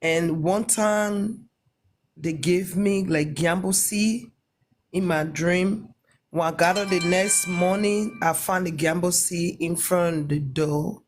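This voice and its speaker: A woman from Liberia calling into a livestream, telling her story in a halting way and repeating phrases. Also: fairly low pitch. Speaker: caller on a livestream. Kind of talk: personal testimony, casual chat.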